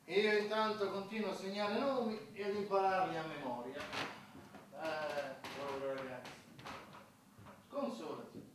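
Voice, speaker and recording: A man's voice: high voice, steady tone, measured pace, pauses often, background noise. A man playing a bitter pacifist in a radio play, speaking in an earnest, accusing way. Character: bitter pacifist man who records names of war dead. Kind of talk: theatrical radio drama acting. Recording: very echoey, distant mic, loud guitar music.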